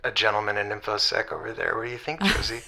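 A man playing an awkward, smitten caller to a radio advice show, halting and coyly flirtatious in improvised chat. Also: on the low side, bright, forceful tone. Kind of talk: semi-improvised audio drama, flirty chat.

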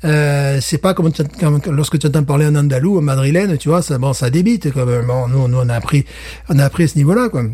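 A man, a teacher and guest on a podcast, chatting casually, animated, with many restarts and acted-out little scenes. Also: a little high, quick pace. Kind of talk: casual chat.

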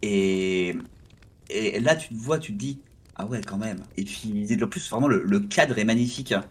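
A man's voice: lowish voice, normal speed, husky. A man co-hosting a gaming podcast in casual chat, often restarting his sentences and steering the discussion along. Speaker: podcast co-host.

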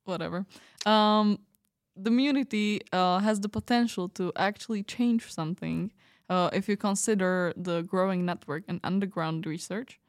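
A woman plays an earnest young trainee interviewed on a fiction podcast. Her voice is mid-pitched and slightly hesitant, recorded close and dry. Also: normal speed, no echo, close to an everyday voice. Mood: corrective, earnest. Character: Eden, earnest young female medical trainee.

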